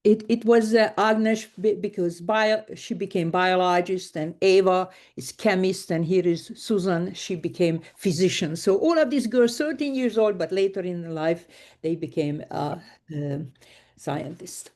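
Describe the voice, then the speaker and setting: A woman from Hungary with a Hungarian accent, giving a keynote lecture over a video call, talking plainly with many hesitations. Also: fairly low pitch. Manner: plain, unhurried, with frequent hesitations and soft laughs. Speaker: keynote speaker. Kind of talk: lecture given remotely.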